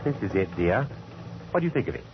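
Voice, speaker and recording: An actor playing a fussy, genteel, affectionate photographer in 1940s radio style, with courtly, flowery speech. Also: male voice, low voice, very animated, quick pace. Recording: muffled, faintly noisy old radio broadcast.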